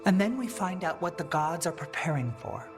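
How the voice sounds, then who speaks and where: An actress playing a principled female magistrate and rebel leader in a video game, speaking in a firm, measured way. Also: fairly low pitch, animated. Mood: concerned. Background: background music.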